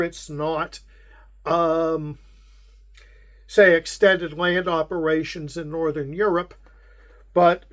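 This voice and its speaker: A man with a Pittsburgh accent, hosting a casual unboxing and walking through the contents in a measured way with frequent pauses. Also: fairly high pitch. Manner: unhurried, measured, with frequent hesitations. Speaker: wargame channel host.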